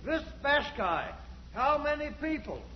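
A man's voice: extremely high pitch, very animated, a little slow, exaggerated voice. An actor playing a bold, domineering soldier-adventurer out to be king, commanding and boastful in 1940s radio drama style. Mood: masterful, imperious. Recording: muffled, faintly noisy 1940s radio recording.

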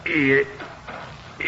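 An actor playing a pompous, easily flustered middle-aged uncle in a 1950s radio sitcom, chuckling and muttering to himself with drawn-out exclamations. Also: male voice, mid-range voice, vocal fry, pushed and bright, full character voice. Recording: dull 1950s radio broadcast, faint noise.

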